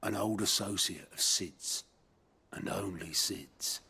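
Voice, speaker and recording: A loyal, gruff second-in-command in a fantasy game. His voice is deep and extremely rough with rasp. He briefs in a plain-spoken, no-nonsense way. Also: takes it slowly, bright, forceful tone, full character voice. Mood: gruff, confiding. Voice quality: very raspy. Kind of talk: scripted fantasy game dialogue.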